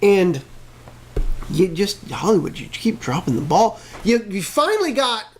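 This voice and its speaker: A man's voice: high voice, sing-song, a little slow. A man co-hosting a casual talk show, giving his opinions conversationally. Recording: noticeable background noise.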